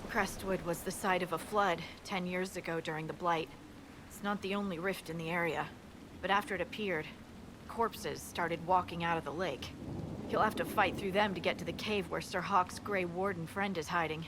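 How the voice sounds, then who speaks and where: A woman's voice: on the low side, fairly even intonation, normal speed, close to an everyday voice. An actress playing a practical scout in a fantasy video game, giving a matter-of-fact briefing to her commander. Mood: businesslike. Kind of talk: video game cutscene acting. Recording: noisy rainy outdoor ambience.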